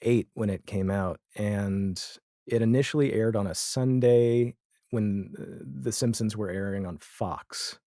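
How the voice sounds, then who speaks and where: A man's voice: on the low side, measured pace, warm tone, dry recording, studio quality. An American man in his forties chatting casually and anecdotally as a podcast guest. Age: 40s. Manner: chatty, acts out imagined dialogue. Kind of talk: casual anecdotal interview chat.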